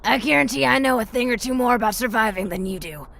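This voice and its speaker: A woman playing a tough, protective old adventurer with a raspy voice in an audio drama. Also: a little high, quick pace, fairly bright tone. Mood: bossy, urging. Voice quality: raspy, gravelly.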